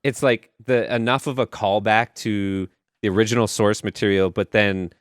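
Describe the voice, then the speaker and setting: A man co-hosting a podcast, chatting about films in a loose, animated, sweary way. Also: on the low side, moderate pace, smooth, no echo, clean studio sound. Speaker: podcast co-host. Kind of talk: casual film review chat.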